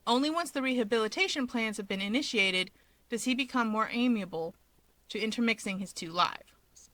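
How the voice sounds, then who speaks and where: A woman narrating fiction in an expressive, wry, conversational way. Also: on the high side, moderate pace.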